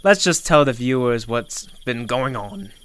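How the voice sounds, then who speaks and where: A man's voice: medium pitch, lively intonation, measured pace, fairly bright tone, clear, resonant tone. A man playing a paranoid, petulant crowned ruler in a comic roleplay, stammering and repeating himself as he gives orders. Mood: flustered, bossy. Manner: stammering, bossy, repeats words when flustered. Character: petulant, paranoid male crowned ruler Bobby, bossy and flustered.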